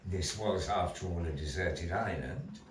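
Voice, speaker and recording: A man telling a dramatic adventure tale to a live audience, slow and theatrical, with playful asides. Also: sits low. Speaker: puppet show storyteller. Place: small gallery room with audience. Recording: roomy echo, distant mic, faint noise.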